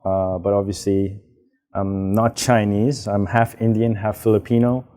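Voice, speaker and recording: A young man from Hong Kong, answering interview questions in a relaxed, rambling way with frequent fillers. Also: fairly low pitch, a little slow. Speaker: guest, business founder. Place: outdoors, karaoke nearby.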